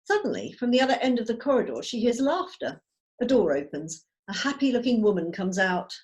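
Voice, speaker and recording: A woman's voice: fairly high pitch, very animated, a little fast, a little mellow. A woman giving scripted narration, reading out stage directions on a video call.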